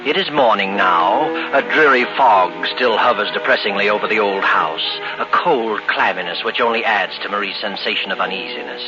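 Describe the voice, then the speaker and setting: An actor playing a sinister, taunting narrator of a 1940s radio mystery, ominous and dramatic. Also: male voice, fairly low pitch, moderate pace, hardly any pauses, natural voice. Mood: gloomy. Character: sinister omniscient mystery narrator, gloating over the victim. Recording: muffled 1940s radio recording, loud music behind.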